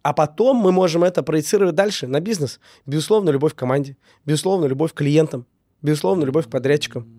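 Guy with a cold, talks fast n earnest, lots of fillers, warm mellow tone, kinda high. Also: no room sound. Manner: earnest, repeats key words, many fillers. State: recovering from a cold. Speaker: business owner, guest. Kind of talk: casual interview, reflective.

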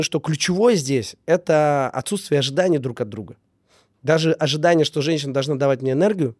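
A man's voice: medium pitch, a little mellow, no echo, clean studio sound. A man with a slight cold speaking casually and reflectively as an interview guest, emphatic, repeating phrases in lists. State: getting over a cold. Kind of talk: casual reflective talk on relationships.

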